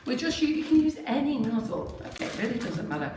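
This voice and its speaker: A chatty woman with a squeaky voice, co-hosting a live cake decorating demo in a home kitchen and explaining each step plainly with frequent laughs. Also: big pitch swings, quick pace. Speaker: baking show co-host. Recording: echoey kitchen, far mic, hiss.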